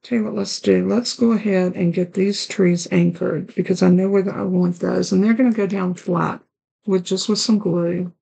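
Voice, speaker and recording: A woman with a raspy, hoarse voice hosting a card-making tutorial, chatting along as she works through each step. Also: on the low side, steady tone, normal speed, warm tone, poor audio. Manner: chatty, talks while working with her hands. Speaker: card-making channel host.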